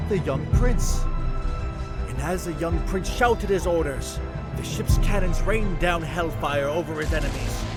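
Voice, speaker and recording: A man voicing a tall, excitable young fish-folk prince who tells tales in a grand, theatrical way, in a fan audio drama. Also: on the high side, natural voice. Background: music.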